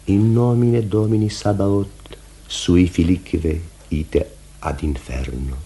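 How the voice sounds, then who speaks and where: An actor playing a commanding pastor who hunts the devil, speaking in an emphatic, oratorical radio theatre manner. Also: male voice, low-pitched, steady tone, dark tone. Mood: probing, intense. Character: commanding, certain male pastor hunting witchcraft and the devil.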